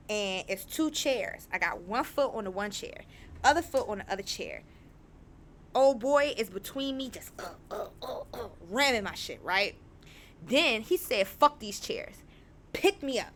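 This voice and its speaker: Young woman podcaster, lowish slightly raspy voice, candid animated monologue. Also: slight background noise. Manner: chatty, frank, animated storytelling. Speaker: podcast host.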